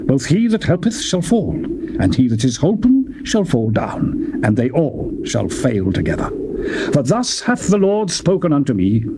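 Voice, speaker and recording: A man reading scripture aloud in a solemn, declamatory manner, with wide swings in pitch. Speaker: Bible reader. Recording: loud background noise.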